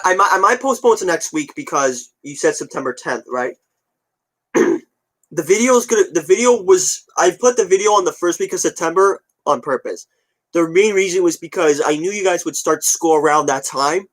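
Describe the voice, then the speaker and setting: A man's voice: fairly high pitch, animated, moderate pace, fairly bright tone, poor audio. A twenty-year-old man chatting casually to his viewers, rambling and repeating himself in an offhand way. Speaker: video creator updating viewers. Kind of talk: casual chat to viewers, answering comments.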